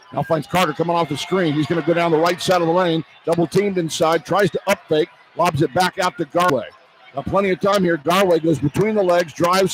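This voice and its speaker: A man with a high-pitched voice calls a basketball game for radio. His phrases are short and running, with an excitable edge. Loud arena noise sits behind him the whole time. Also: low-quality recording. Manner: clipped running phrases, excitable, bursts into shouts. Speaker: play-by-play radio announcer. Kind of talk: live basketball play-by-play commentary. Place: courtside in an indoor arena. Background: loud game ambience.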